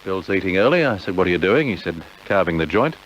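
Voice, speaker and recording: Deep male radio host, chatty and halting, casual dedications, muffled tape, clear background hiss and noise. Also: very animated, a bit bright. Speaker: radio presenter. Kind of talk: casual radio presenting, personal farewells and dedications.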